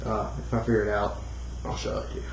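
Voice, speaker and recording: A man giving a casual, unscripted hobbyist demo, rambling and thinking out loud as he goes. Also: lowish voice, flat delivery, quick pace. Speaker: hobbyist demonstrating a homemade build. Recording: roomy echo, clear background noise.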